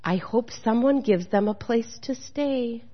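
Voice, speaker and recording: A woman's voice: a little high, measured pace, slightly rough. A woman voicing a compassionate, plain-spoken donkey in a children's storybook, in a reverberant hall. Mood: concerned. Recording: dull, echoey hall recording.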